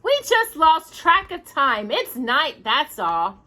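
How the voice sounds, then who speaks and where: Woman acting a bossy, whiny older brother, bright. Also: sits high, very animated, takes it slowly, voice pushed up, full character voice. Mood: disbelieving. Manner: whiny, scornful, muttering.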